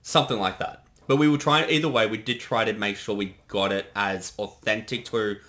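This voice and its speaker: A man's voice: lowish voice, steady tone, normal speed, a bit bright. A man giving casual creator commentary in a chatty conversation.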